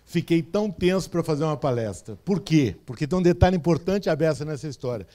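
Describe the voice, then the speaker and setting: Brazilian man from interior São Paulo giving an easygoing talk, voice sitting a bit high, keeps checking in with the crowd. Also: animated, fast, dark tone. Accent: Brazilian Portuguese, interior São Paulo state. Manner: conversational, with frequent "tá certo?" and "né?" check-ins. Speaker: speaker. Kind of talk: stage talk to an audience. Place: event stage before a live audience.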